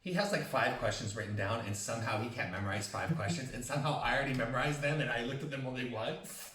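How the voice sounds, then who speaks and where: A man's voice: medium pitch, fast talker, a bit bright, slight background noise. A man co-hosting a casual chat show, joking, campy and self-mocking as he tells a long story. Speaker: podcast co-host. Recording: roomy, mic at a distance.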